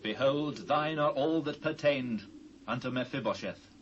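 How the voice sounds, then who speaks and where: A British man playing a grave, devout fugitive king in a dramatised scripture, speaking solemn, measured archaic English. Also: medium pitch, muffled recording, natural voice. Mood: commanding. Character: King David, grave sorrowful fugitive monarch, commanding and devout. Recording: faint hiss and ambience, old cassette.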